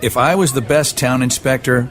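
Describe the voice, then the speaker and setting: An actor playing a dry, officious but decent safety inspector, matter-of-fact, in a family radio drama. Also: male voice, mid-range voice, normal speed, fairly bright tone, faint music behind, some chatter behind, lightly acted. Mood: apologetic, earnest. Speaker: building inspector.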